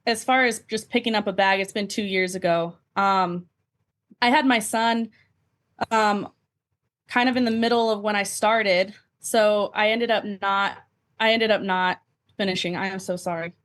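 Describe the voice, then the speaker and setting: Mid-pitched woman, casual podcast guest. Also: steady tone, moderate pace, a bit bright. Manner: informal, conversational. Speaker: podcast guest, amateur athlete. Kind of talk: casual interview answers.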